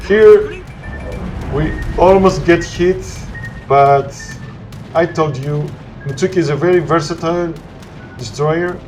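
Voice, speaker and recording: Greek guy talking over his game, real slow, lots of uhs, bit of music underneath. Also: fairly high pitch, darkish tone, poor audio. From Greece. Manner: halting, searching for words with frequent "uh". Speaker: gameplay commentator. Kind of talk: gameplay commentary.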